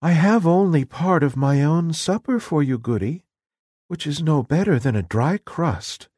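A man voicing a kind, humble young girl in a storybook, speaking in a gentle, hospitable way. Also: a little high, animated, measured pace, breathy edge, no echo, clean studio sound, voice pushed up. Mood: gentle, welcoming.

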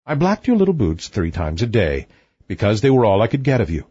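A man voicing a calm, blunt young prince who speaks coolly, on a dull lo-fi recording. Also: on the low side, a little fast, husky, no room sound, voice pushed down, heavily acted.

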